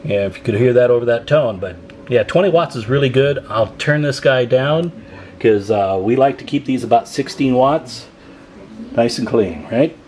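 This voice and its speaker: A man's voice: medium pitch, normal speed, a little mellow, a little hiss or hum. An American man giving a casual, folksy hands-on demonstration as a video host, chatting to viewers as he works. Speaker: radio repair hobbyist and video host.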